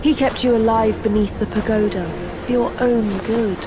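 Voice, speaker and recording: A woman's voice: a little high, fairly even intonation, moderate pace, background noise, close to an everyday voice. A woman voicing a stern, reproachful servant loyal to a master in a dramatic video game, speaking formally.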